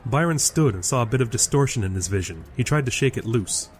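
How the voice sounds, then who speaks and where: A man narrates an audio drama in a scripted storytelling style, his mid-pitched voice set against prominent music. It is measured prose reading. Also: lively intonation. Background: loud music.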